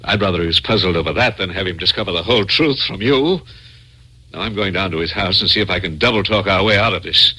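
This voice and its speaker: An actor playing a scheming, scornful doctor with a secret, speaking in a sardonic, scolding radio drama manner. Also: male voice, deep voice, quick pace, sharp tone, slightly rough, exaggerated voice, poor audio. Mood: scolding, exasperated.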